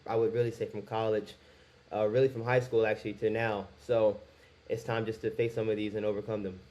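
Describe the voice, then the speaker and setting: A man's voice: lowish voice, level tone, fairly quick, a little mellow. Candid young man talking to camera. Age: 20s. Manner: candid, self-conscious, rambling with restarts. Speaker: YouTuber. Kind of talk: casual talk to camera.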